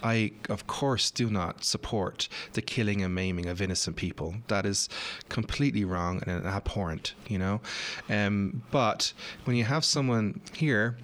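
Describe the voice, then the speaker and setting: A man's voice: on the low side, measured pace, a bit bright. A man with an Irish accent, talking as a guest on a talk show, hesitant and full of fillers and restarts. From Ireland. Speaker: guest commentator.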